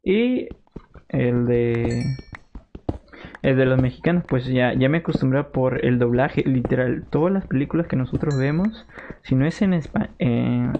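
A man's voice: mid-range voice, measured pace. A young man with a Peruvian Spanish accent, chatting casually with viewers as he plays on a live stream. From Peru. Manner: chatty, reads and answers viewer messages, jokey outbursts. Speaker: gaming streamer. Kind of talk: casual live-stream chat while playing. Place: home room. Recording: slightly dull lo-fi sound with faint noise.

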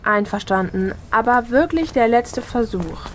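An unruffled teenage girl in an audio drama, a slightly exasperated big sister with a fairly high voice. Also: lively intonation, measured pace, slight background noise. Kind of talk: German audio drama acting.

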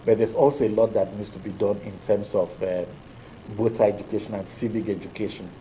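Low, mellow Nigerian man, deliberate. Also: steady tone, a little hiss or hum, poor audio. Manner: deliberate, hesitant with many fillers. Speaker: electoral commission official, guest. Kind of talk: measured studio interview answers. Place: TV studio.

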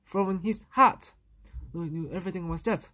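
A woman reading a children's picture book aloud, with a halting delivery and occasional stumbles. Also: fairly low pitch, pushed and bright. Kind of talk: children's story read aloud.

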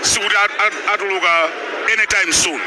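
A Malawian man anchoring a late-night outside broadcast, slightly high voice, feeling for words over clear noise. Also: steady tone, fairly quick. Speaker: television presenter asking questions. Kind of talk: casual live broadcast chat. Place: outdoors at night outside a convention centre, among a crowd. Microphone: handheld microphone. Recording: noisy outdoor live broadcast.

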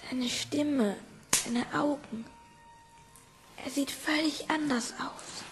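A small orphan boy in a radio play, high-pitched and dreamy. He speaks slowly and earnestly, with music clearly behind him. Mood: uneasy. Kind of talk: German audio drama acting.

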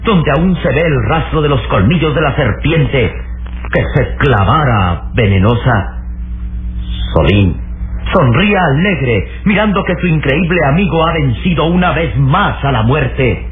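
A man giving solemn, measured dramatic narration for an old radio serial. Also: mid-range voice, sing-song. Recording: tinny vintage radio, music behind.

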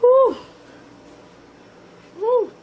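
A woman's voice: extremely high pitch, extremely slow, muffled recording. A woman patient in her thirties, speaking casually in spontaneous, exclamatory reactions. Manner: expressive, exclamatory. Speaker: chiropractic patient. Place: small treatment room, indoors. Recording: light room noise.

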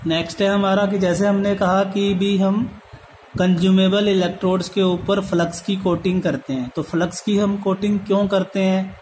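Male lecturer, Indian accent, high voice, monotone delivery, step-by-step explaining, English terms mixed in. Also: normal speed, a little hiss or hum, rough recording. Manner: switches between Hindi and English terms; repeats and rephrases points. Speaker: polytechnic lecturer. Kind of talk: lecture read from handwritten notes, explained step by step.

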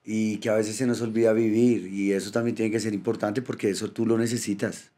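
A man, an actor and rapper chatting as a podcast guest, speaking in a lively, joking way on a studio microphone. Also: lowish voice, brisk. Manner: playful, animated, joking. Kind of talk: casual podcast chat. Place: indoor studio with yellow backdrop. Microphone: studio mic on boom arm.

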